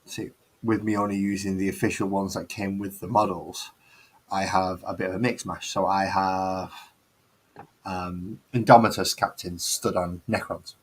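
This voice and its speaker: A man's voice: sits low, level tone, normal speed, a little hiss or hum. A man with a Mancunian accent hosting a casual hobby chat, chatty and self-mocking with a grumbling streak. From northern England. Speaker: host.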